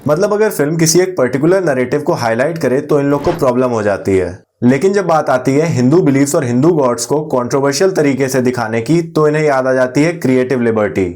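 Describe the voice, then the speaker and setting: A man's voice: mid-range voice, lively intonation, a little fast, hardly any pauses, a little mellow. A man with an Indian accent delivering scripted opinion commentary as a video presenter, addressing viewers directly with rhetorical questions.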